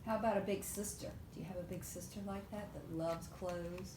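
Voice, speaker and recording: A woman's voice: fairly low pitch, steady tone, fairly quick. A woman leading a preschool storytime, reading aloud and adding simple explanations and questions for young children. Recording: roomy echo, faint background noise.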